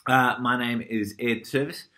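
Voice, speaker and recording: Kiwi guy in his 30s reading questions out, relaxed, bright crisp voice, camera mic. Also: medium pitch, measured pace. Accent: New Zealand. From New Zealand. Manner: relaxed, reads out questions plainly. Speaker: musician guest. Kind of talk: casual chat. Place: indoor room by a window.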